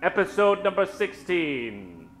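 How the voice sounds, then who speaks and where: Slow, theatrical male radio show host. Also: sits high, sing-song, fairly bright tone. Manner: theatrical, mock-ominous, dramatic pauses. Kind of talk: old-time radio horror spoof announcing and storytelling. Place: theater stage before an audience. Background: live keyboard music.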